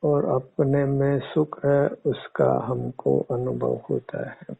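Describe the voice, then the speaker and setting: A man teaching guided meditation in measured, formal, instructive words. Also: mid-range voice, level tone, gravelly, husky. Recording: muffled phone-like narrow-band audio.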